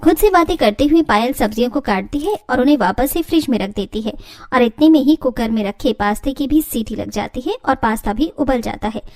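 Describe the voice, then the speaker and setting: A woman's voice: high voice, darkish tone. A woman delivering scripted storytelling narration as the narrator of a family tale.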